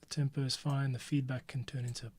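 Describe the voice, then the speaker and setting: A man's voice: mid-range voice, flat delivery, brisk, crowd noise. A man hosting a podcast, talking casually to himself while he edits audio, now and then dropping to a whisper. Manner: chatty, talks to himself while working, drops into whispers. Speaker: podcast host and editor. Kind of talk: casual behind-the-scenes commentary while editing. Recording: music playing behind voice. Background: music playing and keyboard typing.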